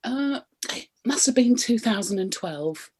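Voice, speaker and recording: Woman playing a frisky British wife, high-pitched and lively. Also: unhurried, breathy edge. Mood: earnest, naive. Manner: expressive, suggestive. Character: cheeky, frisky, neglected middle-aged British wife. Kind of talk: radio play comedy acting.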